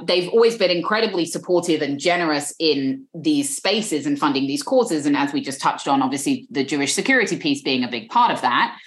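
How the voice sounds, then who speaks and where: A British woman speaks earnestly in an interview, often repeating a word as she gathers her thoughts. Her voice sits fairly low, and the room gives it a clear echo. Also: lively intonation, brisk, hardly any pauses. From Britain.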